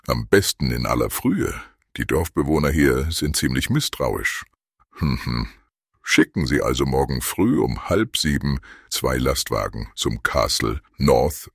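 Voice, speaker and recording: A man acts out a smug burglar from a trashy comedy radio play. His voice is extremely low and husky, swinging around in pompous, polite self-satisfaction, in a dry recording. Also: dry recording, exaggerated voice. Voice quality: gravelly, very deep. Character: smug, scheming male burglar plotting on the telephone.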